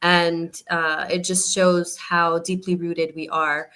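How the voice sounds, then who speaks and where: A woman guest answers interview questions in a fairly low, flat voice. She was raised in the US and now lives in Jordan. She talks hesitantly, with restarts and fillers. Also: slow pace. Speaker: guest artist.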